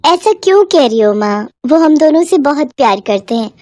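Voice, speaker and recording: A woman as a feisty young student, very high-pitched. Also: lively intonation, moderate pace, warm tone, no room sound. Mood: soothing. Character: young female college student, dutiful yet feisty.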